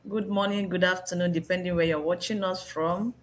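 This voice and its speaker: Woman, from Nigeria, nurse, slightly low pitch, clear polite delivery. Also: fairly even intonation, brisk, a little hiss or hum. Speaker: panel guest, registered nurse. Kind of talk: online panel introduction.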